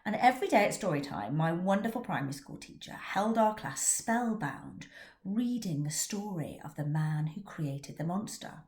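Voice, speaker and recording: A middle-aged woman, an author and teacher from England, giving a prepared talk in an expressive storytelling manner. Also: on the low side, normal speed, roomy sound. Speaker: children's author. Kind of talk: scripted talk about her book.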